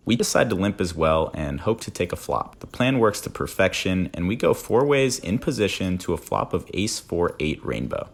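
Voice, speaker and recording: A young man in his twenties with a low voice that moves around in pitch. He narrates in scripted vlog style, slipping in dry, wry asides. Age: 20s. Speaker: poker vlogger, narrator.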